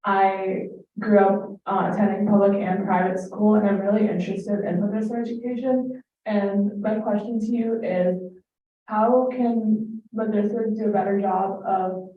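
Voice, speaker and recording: Woman asking, on a tinny line. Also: mid-range voice. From Pakistan. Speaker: audience member asking a question. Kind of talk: question at a conference. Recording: distant, echoey, tinny phone-like line.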